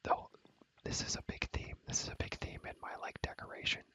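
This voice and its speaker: A man's voice: quick pace, bright, forceful tone. Whispering young man, rambling ASMR. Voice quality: breathy, unvoiced whisper. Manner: rambling, repeats phrases over and over, slangy. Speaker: ASMR video creator, gamer. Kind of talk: whispered ASMR gameplay commentary.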